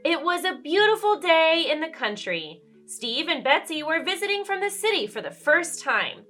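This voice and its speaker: A woman's voice: extremely high pitch, very animated, normal speed, sharp tone, faint music behind. A woman storyteller reading a children's book aloud expressively, with dramatic emphasis and questions to the listener.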